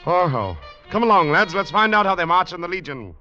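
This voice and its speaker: An actor playing a wry, coolly confident English gentleman legionnaire, dry and teasing, in a 1940s radio drama. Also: sits high, big pitch swings, a little fast. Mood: wry, jaunty. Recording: muffled vintage radio recording, faint music.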